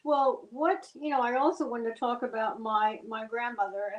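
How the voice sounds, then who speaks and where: A woman's voice: on the high side, fairly even intonation, moderate pace, echoey room, dull audio. An older woman from New Jersey, a guest cook explaining recipe steps plainly in a homey, chatty way. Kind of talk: cooking demonstration chat.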